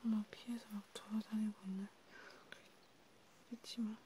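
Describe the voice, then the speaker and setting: A woman whispering softly in an ASMR role play as a vampire healer tending a wounded vampire. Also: medium pitch, flat delivery, normal speed. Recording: clearly noisy home recording.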